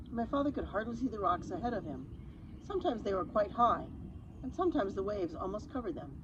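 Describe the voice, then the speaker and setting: A woman reading a children's story aloud in an expressive, chatty manner, slipping in casual asides and simple explanations. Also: medium pitch, muffled recording, background noise.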